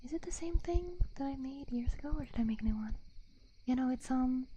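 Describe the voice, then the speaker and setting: High, whispery soft-spoken ASMR woman. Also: a little fast, a little hiss or hum. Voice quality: breathy, whispery. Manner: gentle, playful, giggly. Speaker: livestream host. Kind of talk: soft-spoken ASMR, casual chat with viewers. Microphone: close binaural ASMR microphone.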